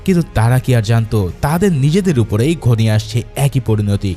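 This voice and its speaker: A man's voice: medium pitch, dark tone. A man narrating a film's story as a scripted, dramatic recap. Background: background music.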